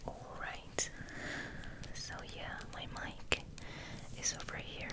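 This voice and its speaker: A woman ASMR host speaking in a gentle, breathy whisper, hushed and unhurried, as if rambling softly to her listeners. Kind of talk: ASMR, soft rambling chat and reading aloud.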